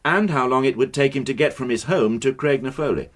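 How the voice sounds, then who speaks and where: An actor playing an authoritative police inspector in a radio drama, firm, probing and formally polite. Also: male voice, medium pitch, a little fast, lightly acted.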